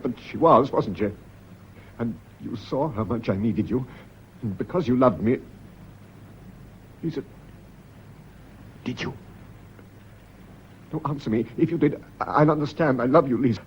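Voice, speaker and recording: An actor with a British accent playing a tormented, suspicious widower in a radio drama, stammering, troubled and insistent. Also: male voice, medium pitch. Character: Gregory, tormented, suspicious widower in love with Elizabeth. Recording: dull lo-fi radio recording, faint noise.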